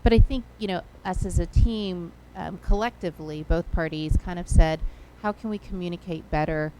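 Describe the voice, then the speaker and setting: This is a woman with a lower-pitched voice reporting for the communications committee at a board meeting. She keeps it casual, hedging often with "kind of" and pausing on "um". A faint noise runs under her voice. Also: dark tone, poor audio. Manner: casual, with many fillers and hedges like "kind of".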